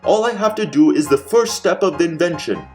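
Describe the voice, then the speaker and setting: A man playing an excitable, bossy mad scientist in an old-time radio comedy mystery. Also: a little high, fairly quick, soft background music, lightly acted. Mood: urgent, excited. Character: male mad scientist Doctor Frankengrain, excitable, bossy procrastinator.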